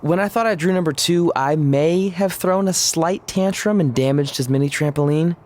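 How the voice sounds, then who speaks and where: A man's voice: a little high, normal speed, a little mellow, close to an everyday voice. A man playing a cocky but sheepish young wrestler in casual video game cutscene dialogue.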